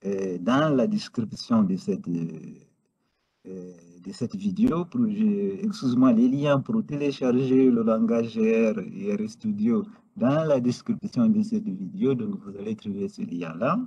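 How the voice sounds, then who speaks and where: Slow, low-voiced man, native Portuguese speaker. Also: warm tone. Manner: unhurried, step-by-step, frequent hesitations. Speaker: data science instructor. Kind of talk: screen-recorded software tutorial. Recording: roomy, dull lo-fi sound.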